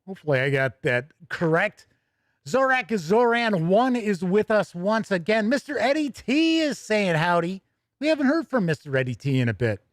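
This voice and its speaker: Easygoing man with a higher-pitched voice. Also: very animated, normal speed, dry recording, studio quality. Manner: folksy, easygoing. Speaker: host of a tabletop gaming news live stream. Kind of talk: casual live-stream chat to viewers.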